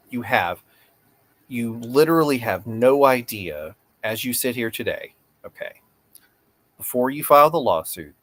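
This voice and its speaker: A man's voice: medium pitch, fairly bright tone. A man playing a persistent, formal lawyer questioning an evasive witness in a read-aloud deposition.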